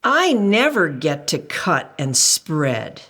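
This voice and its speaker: Woman voicing a mopey young spoon, slow and plaintive. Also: low voice, very animated, a bit bright, heavily acted. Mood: glum, envious. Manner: drawn-out, plaintive complaining. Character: mopey young male spoon, self-pitying and envious.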